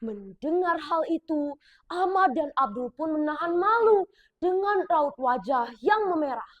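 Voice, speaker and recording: A female storyteller from South Sumatra tells a folk tale in a clear, expressive voice. Her voice sits high and her narration is measured. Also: muffled recording. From South Sumatra, Indonesia.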